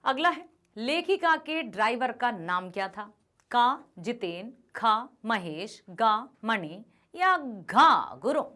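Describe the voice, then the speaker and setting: A woman teacher works through exam questions for her students. Her voice sits fairly high and moves a lot in pitch. She reads each option aloud and then explains the answer. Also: big pitch swings, takes it slowly, sharp tone. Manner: addresses students as children. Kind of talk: exam revision lesson.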